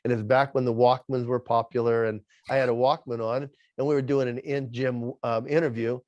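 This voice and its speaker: A man's voice: medium pitch, level tone, brisk, a little mellow, dry recording. A man in his sixties with a lisp, a podcast guest telling his life story in a rambling way, often repeating words and restarting sentences. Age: 60s. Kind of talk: casual storytelling interview. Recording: dull lo-fi dry sound.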